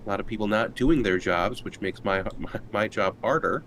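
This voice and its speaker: A man's voice: fairly low pitch, moderate pace, a bit bright, a little hiss or hum. A man who plays on a tabletop roleplaying show, bantering casually in a dry, joking way.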